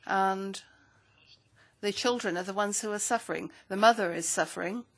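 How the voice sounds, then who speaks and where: A woman interpreting a speech into English at a parliamentary hearing, with a steady, measured delivery. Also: medium pitch.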